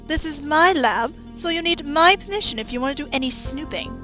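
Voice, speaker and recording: Woman as a high, haughty, mocking scientist. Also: animated, a little fast, sharp tone, soft background music. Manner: sardonic, taunting. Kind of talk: video game fandub acting.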